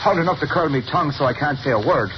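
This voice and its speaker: A man's voice: on the high side, fast talker, natural voice. A man with an Irish accent playing a chatty police lieutenant in a 1930s radio drama. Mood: gruff. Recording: dull old radio broadcast with hiss.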